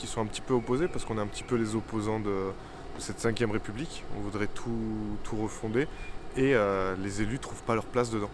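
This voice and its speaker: Fast-talking man outdoors with noise. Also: fairly low pitch. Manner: hesitant, repeats words while talking. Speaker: marcher and organizer. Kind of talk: casual interview answers.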